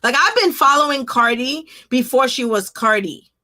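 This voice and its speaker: Middle aged lady podcast host, bright kinda high voice, slangy and expressive. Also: normal speed. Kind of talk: casual interview chat.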